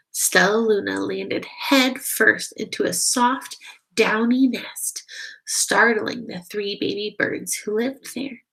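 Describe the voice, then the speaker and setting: A woman reading a children's picture book aloud, expressive and slow, stopping to ask the listeners questions. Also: on the high side, creaky, rough recording. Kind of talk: children's read-aloud narration.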